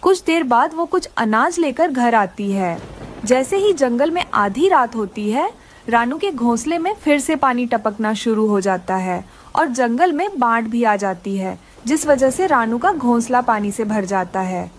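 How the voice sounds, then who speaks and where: A woman's voice: on the high side, expressive, a little slow, a little hiss or hum. A woman narrating a scripted children's story.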